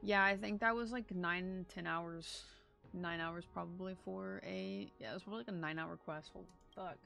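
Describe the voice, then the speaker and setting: A woman's voice: fairly low pitch, moderate pace, gravelly, sharp tone. A woman game streamer giving blunt, casual livestream reaction commentary, swearing freely. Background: video game music.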